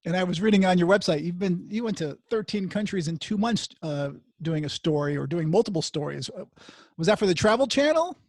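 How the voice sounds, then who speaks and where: A man hosts a casual interview with a gravelly edge to his voice. The sound is dry and close, with no room around it. He keeps things chatty and throws in quick follow-up questions. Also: sits high, lively intonation, a little mellow, no echo. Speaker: podcast host.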